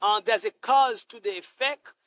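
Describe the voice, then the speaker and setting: A middle-aged man from Haiti, a Senate candidate answering interview questions in a halting way, full of restarts and fillers. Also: high voice, fairly bright tone.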